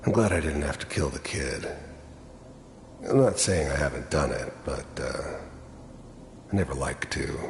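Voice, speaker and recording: An actor playing a cynical, hardened middle-aged mercenary in a video game, with a gravelly voice and a wry, world-weary way of confiding. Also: male voice, animated, unhurried, pauses often, exaggerated voice. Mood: brooding, bitter. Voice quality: gravelly, very deep. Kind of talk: video game character monologue. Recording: echoey hall reverb with background noise.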